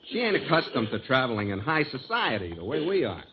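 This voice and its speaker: An actor playing a dim, shameless bachelor musician sidekick in a 1940s radio sitcom, slangy and deadpan with mock dignity. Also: male voice, mid-range voice, brisk. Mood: grateful. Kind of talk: 1940s radio sitcom acting before an audience. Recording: tinny, noisy 1940s radio recording.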